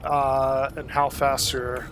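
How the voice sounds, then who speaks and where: A man's voice: mid-range voice, slow pace, music in the background. Man streaming with a lingering cold. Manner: relaxed, rambling, laughs often, lots of ums. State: getting over a cold. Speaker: streamer playing a space game. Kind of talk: casual live-stream commentary.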